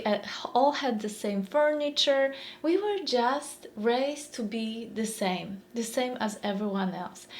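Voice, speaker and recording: Polish-accented woman in her 40s, slow thoughtful talk, kinda high voice. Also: a little mellow. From Poland. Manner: thoughtful, repeats phrases, searches for words. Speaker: mindfulness speaker. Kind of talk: reflective motivational talk.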